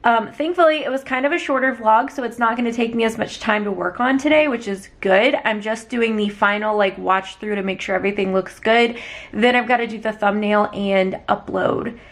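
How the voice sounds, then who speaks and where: A young adult woman with a slightly raspy voice, chatting casually and in a rambling, conversational way to her vlog viewers. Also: fairly high pitch, moderate pace. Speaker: small business owner vlogger.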